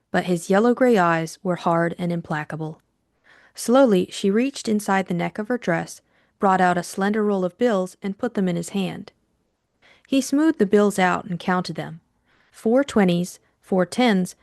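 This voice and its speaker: A woman's voice: medium pitch, creaky, darkish tone, no echo, clean studio sound. A woman reading scripted audiobook narration as the storyteller.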